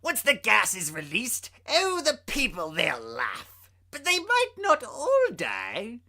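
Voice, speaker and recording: A man voicing a maniacal, gleeful clown villain in a comic-book story, taunting and theatrical with drawn-out words. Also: very high voice, wildly swooping pitch, bright, forceful tone, pitched above the performer's own voice, exaggerated voice. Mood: maniacal, menacing.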